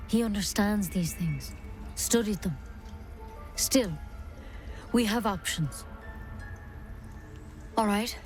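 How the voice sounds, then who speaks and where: An actress playing a practical woman druid healer in a video game, with a brisk, plain-spoken bedside manner. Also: mid-range voice, darkish tone, lightly acted.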